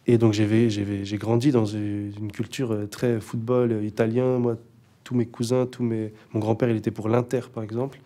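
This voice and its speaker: A man's voice: on the low side, flat delivery, normal speed, mellow. A young man, a rapper, chatting casually in an interview, laid-back and hesitant, with many fillers and restarts. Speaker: rapper guest.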